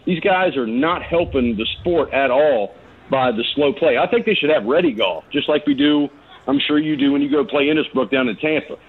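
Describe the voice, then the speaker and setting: A man's voice: medium pitch, normal speed, husky. A man phoning a sports radio show with a blunt, exasperated complaint in casual speech, restarting as he goes. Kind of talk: casual opinionated call-in complaint. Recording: narrow phone-in line.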